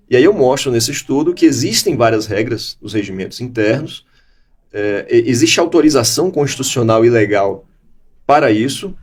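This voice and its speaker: Husky Brazilian man with mixed Bahian and Gaúcho accent. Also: medium pitch, a little mellow. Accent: mixed Bahian and Gaúcho Brazilian Portuguese. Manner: reflective storytelling with frequent restarts. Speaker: interview guest, law professor. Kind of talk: conversational interview.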